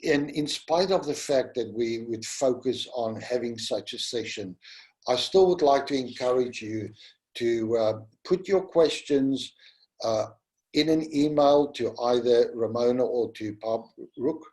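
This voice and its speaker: A middle-aged man with a South African accent, first language Afrikaans, giving a gentle, pastoral webinar talk. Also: medium pitch, measured pace. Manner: gentle and pastoral, addresses listeners as "my friend". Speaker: webinar presenter. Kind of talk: slow, earnest teaching talk.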